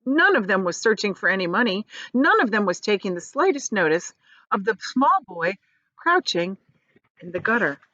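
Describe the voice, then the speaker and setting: A woman's voice: mid-range voice, big pitch swings, normal speed, pushed and bright, dry recording, dull audio. Woman reading a storybook, dry sound. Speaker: teacher reading aloud. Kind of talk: expressive children's storybook narration.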